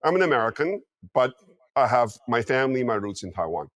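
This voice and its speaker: A man's voice: medium pitch, big pitch swings, a little fast, a bit bright, no echo. Male guest strategist in his fifties, punchy and insistent, mid-range voice, dead-dry room. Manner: emphatic, peppers talk with "okay?" and "you know what?". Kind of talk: interview commentary.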